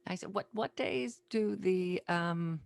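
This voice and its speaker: A woman interviewing casually as host, halting and repeating herself, with a self-deprecating way of talking. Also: lowish voice, gravelly.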